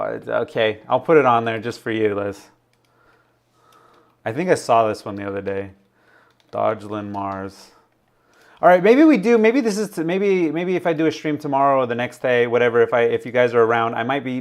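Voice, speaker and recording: A man casually hosting a livestream, rambling, laughing often and reading out viewer chat, his voice a little raspy. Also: medium pitch. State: slightly raspy voice. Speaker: livestream host.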